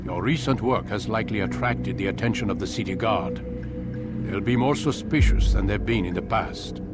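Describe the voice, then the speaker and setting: An actor playing a stern older male master of an order in a video game, giving measured, commanding orders. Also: fairly low pitch, over loud music, natural voice. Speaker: mentor.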